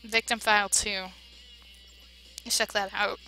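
A woman playing a game, reading its dialogue aloud and reacting with big emotional swings between loud outbursts and hushed narration. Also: medium pitch, little pitch movement, slow, soft background music. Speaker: let's play voice-over player.

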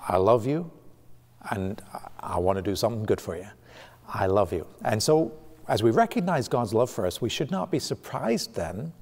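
A middle-aged man, preaching a sermon in a church sanctuary, animated and gesturing, often restarting his phrases. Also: on the low side. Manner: animated, gesturing with both hands, frequent restarts. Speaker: pastor. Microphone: camera at medium distance. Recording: long reverb of a church hall.

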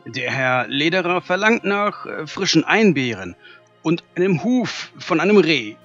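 A man's voice: fairly high pitch, very animated, measured pace, a bit bright, faint music behind. A man playing a flustered, officious castle servant in a fantasy audio drama. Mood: flustered, urgent. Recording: dull, band-limited sound.

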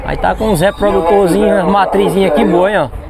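Male market vlogger, Northeastern Brazilian accent, high register, informal folksy patter, recorded amid very loud crowd noise. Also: fast talker, vocal fry. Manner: chatty, folksy, repeats catchphrases. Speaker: vlogger and host. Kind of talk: informal market tour commentary. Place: outdoors at a livestock fair. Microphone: handheld camera or phone. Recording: noisy outdoor livestock market, busy crowd.

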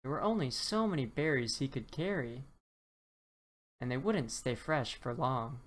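A woman reading a children's bedtime story aloud in an expressive, deliberate storytelling manner. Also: very deep voice.

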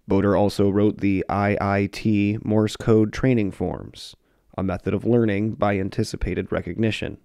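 A man narrating a short history film in a measured, scripted way. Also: on the low side, warm tone, no echo, studio quality. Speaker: documentary narrator.

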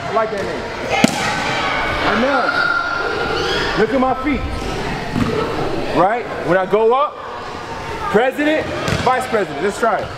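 A man in his thirties coaching kids at basketball, loud and upbeat with lots of encouragement. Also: sits high, lively intonation, takes it slowly, long pauses. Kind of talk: coaching and a short talk to kids. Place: large indoor gym. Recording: echoey gym with loud noise.

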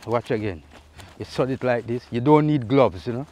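A man's voice: medium pitch, normal speed, a little mellow. A middle-aged man with a Jamaican accent, casually explaining a task outdoors by a river and saying "you know" a lot. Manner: easygoing, repeats "you know" often. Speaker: vlogger. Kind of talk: casual how-to vlog.

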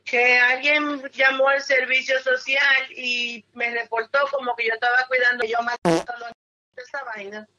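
A woman's voice: high voice, flat delivery, normal speed, bright, forceful tone. A woman in a heated phone conversation, defiant while using pet names for the caller. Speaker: prank call target. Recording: noisy recorded phone call.

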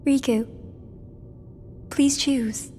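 A woman playing a gentle, solemn teenage girl in a video game cutscene, speaking with measured care. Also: high-pitched, fairly even intonation. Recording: reverberant hall, faint background noise.

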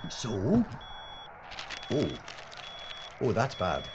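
A reluctant, nervous male comic sidekick in a horror audio drama played by an actor. His dry, whiny sarcasm swings wildly in pitch. The recording is dull, with loud noise behind him. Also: huge pitch swings, extremely slow, heavily acted. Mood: sarcastic.

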